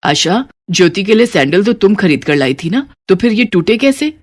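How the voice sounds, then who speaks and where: A woman's voice: medium pitch, sing-song, moderate pace. A woman voicing a stern older mother-in-law in a moral story, reproachful and authoritative. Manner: scolding, authoritative. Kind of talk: animated moral story voice acting.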